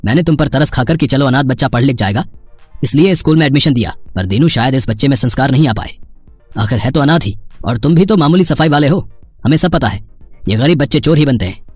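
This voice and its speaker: A man's voice: mid-range voice, animated, fast talker, dry recording, faint music behind, close to an everyday voice. A man voicing a harsh, snobbish cartoon school principal who scolds with contempt. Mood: harsh, contemptuous.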